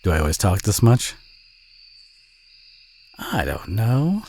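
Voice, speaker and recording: A man playing a friendly, modest country boy with a Southern US accent, chatty and gently teasing in an intimate audio roleplay. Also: fairly low pitch, huge pitch swings, takes it slowly, gravelly, slightly rough, heavily acted. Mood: easygoing, curious. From southern United States.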